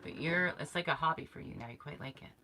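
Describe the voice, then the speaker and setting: A woman's voice: low voice, quick pace, pushed and bright, slight background noise. A middle-aged woman co-hosting a casual camping live stream from a small camper, chatty and laughing often. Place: small insulated camper interior. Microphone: fixed camera framing two people on a bench.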